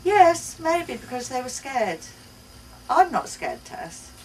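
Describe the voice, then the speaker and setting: A woman reading the part of an earnest, affectionate mother, halting and emphatic as she explains things to her child. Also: sits high, very animated, darkish tone, voice pushed up, exaggerated voice. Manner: halting, emphatic, searching for words. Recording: distant mic, noisy live bookshop event.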